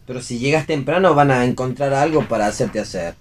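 A man's voice: medium pitch, fairly quick, natural voice. A man with an Argentine accent playing a wry, easygoing character in a read radio play. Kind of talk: read radio theatre dialogue.

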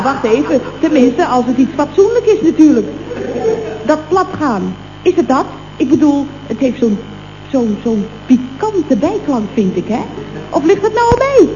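A gushy naive secretary, high swooping voice, old radio comedy, playful and eager. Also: female voice. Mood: curious, coy. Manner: gushing, exclamatory, playful. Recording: dull old radio recording, faint hiss.

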